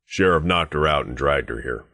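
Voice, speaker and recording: A man voicing a gruff, menacing and suspicious guard sergeant in a video game, curt, commanding and dryly sardonic. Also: a bass voice, normal speed, sharp tone, no echo, clean studio sound, exaggerated voice. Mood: blunt, businesslike. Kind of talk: scripted game dialogue.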